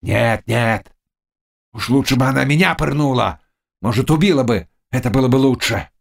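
A man's voice: medium pitch, moderate pace, vocal fry, voice pushed up. A man voicing a fierce, lovesick Cossack chieftain in an audiobook, shifting between brooding mutters and anguished cries. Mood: lovesick, desperate. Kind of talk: audiobook character dialogue.

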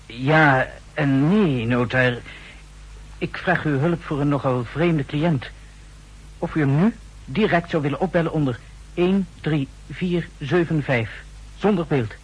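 An eager young student in an old radio play, courteous and earnest. The voice is fairly high for a man and very rough-edged, as heard through a fuzzy vintage radio recording. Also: rough recording. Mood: polite, pressing. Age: young adult. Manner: courteous, earnest, quick to comply. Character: eager, polite young male student running an errand. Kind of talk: 1960s Dutch radio drama acting.

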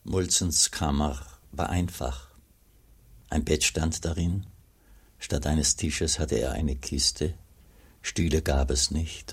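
A grave old monk narrates a radio play. His voice is extremely deep and very husky, with slow, measured phrases that carry quiet tenderness. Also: heavily acted. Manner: measured, reflective.

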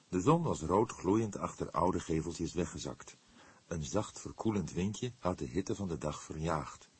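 A man's voice: low voice, husky. A man reading scripted literary narration as an audiobook narrator.

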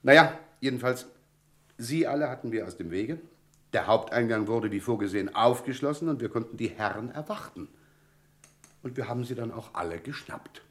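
A man acting a self-assured police inspector in a German radio crime play, brisk and courteous with wry irony. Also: medium pitch, lively intonation, lightly acted. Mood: matter-of-fact. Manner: brisk, courteous, wryly ironic.

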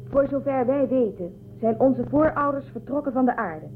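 Woman acting a high-voiced, commanding starship leader. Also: normal speed, lightly acted. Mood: guarded, explaining. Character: commanding woman leader of breakaway starship colony, proud, resigned. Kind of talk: Dutch science-fiction radio drama acting. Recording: muffled narrow-band audio with noise.

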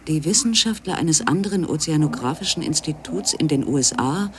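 A man narrates a radio documentary from a script. His voice sits a little high, and his delivery is measured and factual. Clear ambient noise runs behind him. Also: animated.

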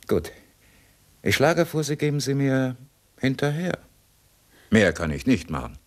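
An actor playing an escaped criminal in a 1960s German radio crime drama, cool and sly, with a suave, mockingly courteous manner. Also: male voice, mid-range voice, very animated. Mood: cool, scheming. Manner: suave, sardonic, unhurried.

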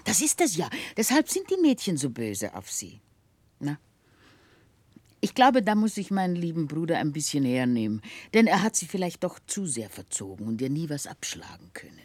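An actress playing a warm-hearted, motherly middle-aged housekeeper in a 1960s radio play, effusive and chatty. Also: low-pitched, sing-song, moderate pace, no room sound. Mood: fond, confiding. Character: warm-hearted, emotional older housekeeper, fussing motherly wife. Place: dry radio studio.

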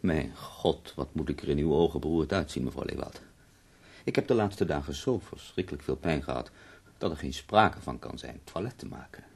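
A very low male voice as a half-paralysed poet in a radio play. He speaks slowly and wearily, with sardonic, literary bitterness about his own suffering. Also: lively intonation, vocal fry, darkish tone, husky, full character voice. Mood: ailing, courteous. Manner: slow, weary, literary and sardonic. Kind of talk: radio drama monologue and dialogue.

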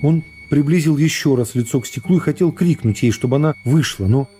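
A middle-aged man narrating a classic novel for radio in a measured, dramatic storytelling manner. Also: fairly high pitch, dark tone. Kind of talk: literary narration in a radio play. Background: music.

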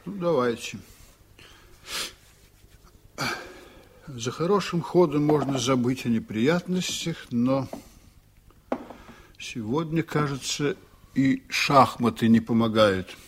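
An actor playing a weary, brooding middle-aged ship captain in an old radio drama, speaking heavily and thoughtfully. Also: male voice, mid-range voice, a little slow, slight background noise, natural voice. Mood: troubled. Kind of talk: 1980s radio drama acting.

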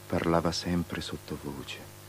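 A very low, grieving friend. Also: male voice, level tone, slow pace, slight background noise, poor audio. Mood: mournful. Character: grieving, devoted male friend of a dead soldier. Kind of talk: poetic theatrical radio drama monologue. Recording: radio drama with music underneath.

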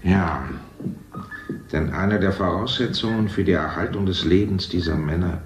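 An actor playing an older man who rules a future city, lecturing in a smooth, condescending way. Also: low voice, normal speed, roomy sound, background noise, lightly acted. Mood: proud. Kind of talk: 1970s radio play acting.

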